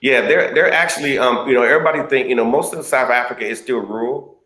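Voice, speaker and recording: A man from the United States answering interview questions in a relaxed, conversational way over a video call. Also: medium pitch, fast, echoey room, low-quality recording. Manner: relaxed, conversational, many fillers. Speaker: guest.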